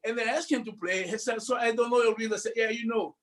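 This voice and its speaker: Brazilian-accented percussion teacher, middle-aged man, high and emphatic. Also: fast talker. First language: Portuguese. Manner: animated, emphatic, asks rhetorical questions. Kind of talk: panel discussion answer.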